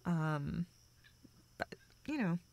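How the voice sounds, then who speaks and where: A woman acting a self-deprecating, dryly sarcastic young woman confiding haltingly in a close friend, in an intimate, naturalistic audio roleplay. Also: lowish voice, vocal fry, heavily acted. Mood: deflated, awkward. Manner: hesitant, halting, deadpan joking.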